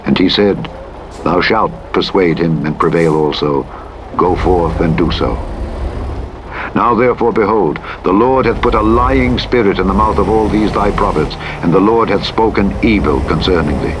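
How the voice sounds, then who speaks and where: A man narrates scripture in a solemn, formal style, with measured and dramatic delivery. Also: deep voice. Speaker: audiobook Bible narrator. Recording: loud truck engine noise over voice. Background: truck engine and vehicle sounds from driving simulator game.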